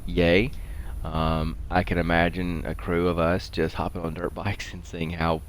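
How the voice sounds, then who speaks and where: A man's voice: low-pitched, level tone, a little slow. A middle-aged man giving casual, rambling commentary while he plays a video game. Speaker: gaming show host. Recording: faint background noise.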